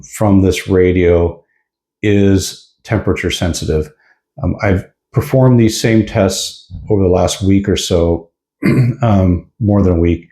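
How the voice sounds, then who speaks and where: A man with a very low, relaxed voice talks through a piece of gear as a reviewer. His delivery is casual and explanatory, like an informal hands-on demonstration. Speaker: product reviewer.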